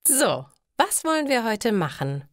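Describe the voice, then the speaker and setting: A female narrator plays a friendly young storybook woman from Bavaria. Her pitch is raised and lively, her manner cheerful and helpful, the sound dry. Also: a little slow, dry recording.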